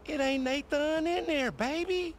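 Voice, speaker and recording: A man's voice: very high-pitched, measured pace, slight background noise. A man playing a boastful, foul-mouthed young hustler in a video game cutscene, with an American accent and slangy, cajoling banter. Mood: excited, boastful.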